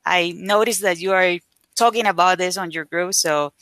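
A woman whose first language is Spanish, a guest on a live video call chatting casually about her work, with lots of "like" fillers and lively retelling. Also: fairly low pitch, moderate pace, no room sound. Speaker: guest, affiliate marketer. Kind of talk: casual interview chat.

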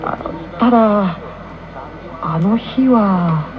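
A man's voice: high voice, extremely slow, a crowd in the background. A man acting an earnest, flustered, jealous teenage boy in an anime radio drama, hesitant and boyish. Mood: flustered pleading. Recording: muffled AM radio recording. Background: music.

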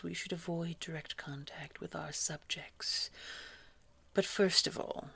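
A woman performs a menacing female boss for an audio roleplay. Her voice is deep and very husky, nearly all breath, and she takes her time. She chooses formal, precise words with cold condescension. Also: a bit bright, heavily acted. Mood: icy, scolding. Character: scary, condescending female boss at a secretive organization. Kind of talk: scripted audio roleplay drama.

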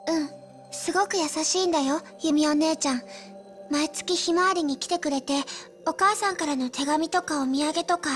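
A woman acts an earnest little girl in a game drama, with a high, childlike voice. She sounds soft and polite, lonely yet determined, over faint music. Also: normal speed, lightly acted. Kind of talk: Japanese video game drama acting.

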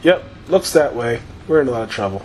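A man giving loose, profane, rambling commentary over his own gameplay, his voice slightly hoarse after a long session. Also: mid-range voice, expressive, a little fast, slight background noise. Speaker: gaming YouTuber.

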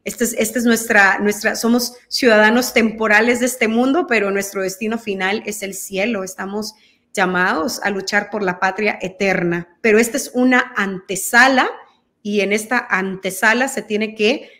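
A woman's voice: mid-range voice, steady tone, moderate pace, a bit bright. A woman in her thirties from El Salvador, speaking Spanish with a Mexican-sounding accent, talking earnestly on a video call as a guest, with many fillers and restarts. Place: dim room at home. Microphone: video call laptop mic.

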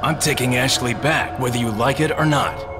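A man's voice: mid-range voice, moderate pace, over music, lightly acted. A man playing an earnest, determined young action hero in a video game, terse and serious. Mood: serious, resolute. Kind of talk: video game cutscene acting.

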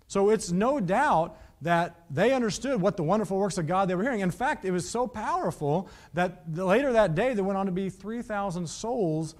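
Animated man preaching on a studio stage. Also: high voice, normal speed, few pauses. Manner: animated, asks the listeners rhetorical questions. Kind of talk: Bible teaching sermon. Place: studio stage with painted backdrops.

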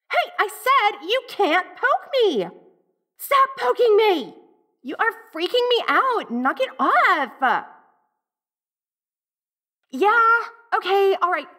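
A woman's voice: extremely high pitch, sharp tone, heavily acted. A woman playing a bratty teenage ghost from the eighties in an audio roleplay, with an American Valley girl accent and a sassy, dramatic, easily flustered manner. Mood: annoyed. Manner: sassy, dramatic, flustered, peppered with 80s slang. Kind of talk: audio roleplay, one-sided dialogue to the listener.

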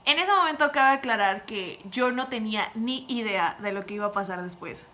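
A woman's voice: fairly high pitch, lively intonation, moderate pace, bright, forceful tone. A young woman chatting casually and expressively to camera in a room at home, giving reaction commentary. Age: young adult. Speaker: video creator reacting to a prank call.

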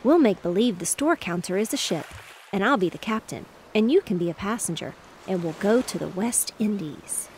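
A woman reading aloud, voicing an excitable, bossy young boy who plays ship captain. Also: mid-range voice, moderate pace, a little hiss or hum, close to an everyday voice. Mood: eager. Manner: eager and insistent.

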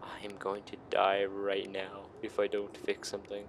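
A man's voice: fairly low pitch, monotone, moderate pace, noisy background. A man giving casual, sweary, exclamatory gameplay commentary as he hosts a gaming video, often talking himself up. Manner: sweary, self-congratulating, exclamatory.

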